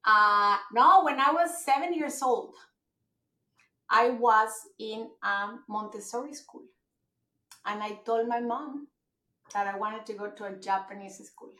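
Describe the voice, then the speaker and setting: High-voiced woman in a roomy space. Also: measured pace. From Mexico. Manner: animated, lots of fillers and restarts. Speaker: guest. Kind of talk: conversational podcast interview. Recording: roomy echo.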